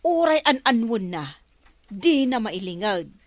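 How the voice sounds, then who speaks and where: A woman acting a scandalized Filipino mother in a radio drama. She speaks slowly in a highish voice that swoops widely, stretching out her gossipy exclamations. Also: sing-song, no echo.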